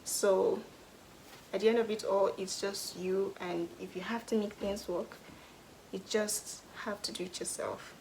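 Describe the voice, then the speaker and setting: A young Nigerian woman answering get-to-know-me questions in a casual vlog, thoughtful, pausing often and sometimes dropping to a whisper. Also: medium pitch, fairly even intonation, gravelly, slight background noise, low-quality recording. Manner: thoughtful, pauses with "hmm" and "um", drops to a soft whisper at times. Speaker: vlogger.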